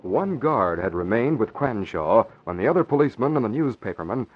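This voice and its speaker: A man's voice: mid-range voice, very animated, brisk, soft background music. A man narrating a scripted 1940s-style radio drama with urgent, dramatic storytelling. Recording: dull old radio recording.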